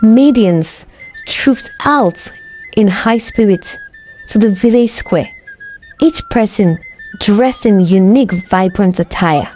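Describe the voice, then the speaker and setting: A woman narrating a scripted folktale in a dramatic, deliberate storytelling manner. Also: medium pitch, a little mellow, soft background music.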